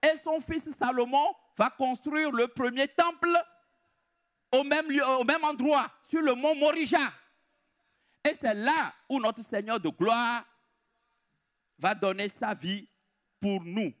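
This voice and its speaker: A man preaching a sermon in an emphatic, deliberate way, repeating his key phrases. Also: extremely high pitch, a bit bright.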